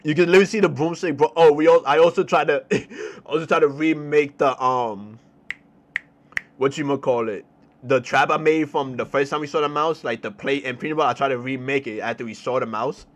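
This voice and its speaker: A young man with a New York accent telling a story in a casual, slangy, animated way, repeating phrases for emphasis. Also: fairly high pitch, a little fast, slight background noise. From New York City, United States. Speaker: storytelling streamer.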